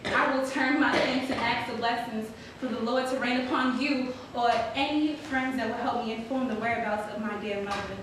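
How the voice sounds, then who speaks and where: Far from the microphone in a very echoey, noisy hall, a woman plays an anxious freed daughter searching for her mother. She reads her letter aloud on stage, earnest and formal, in a slightly high voice. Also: moderate pace, hardly any pauses, fairly bright tone, natural voice. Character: polite, anxious young married freedwoman seeking her mother. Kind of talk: dramatic reading of a historical letter.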